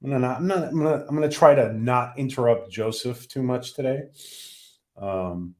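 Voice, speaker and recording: A man hosting a casual livestream who is losing his voice. He talks haltingly, with many ums and restarts. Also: medium pitch, darkish tone. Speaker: livestream host.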